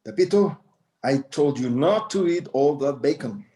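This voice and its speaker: A man's voice: medium pitch, lively intonation, moderate pace, warm tone, natural voice. An actor playing a proud, stubborn elderly rancher father, philosophizing in terse phrases at a screenplay table read. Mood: admonishing.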